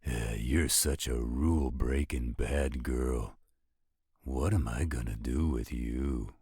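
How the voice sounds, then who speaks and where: A man playing a gruff, teasing werewolf with a husky voice in a roleplay audio drama. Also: slow pace, a little mellow, no room sound, studio quality, exaggerated voice. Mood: playful, flirty. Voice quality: very deep, husky. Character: gruff, teasing male werewolf with a laid-back streak.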